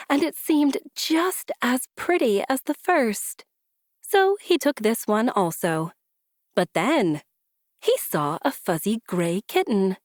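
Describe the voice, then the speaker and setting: Slow, very expressive woman storyteller. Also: fairly high pitch, no room sound, clean studio sound. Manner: deliberate, rhythmic, emphatic. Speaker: storybook reader. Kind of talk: expressive children's story narration.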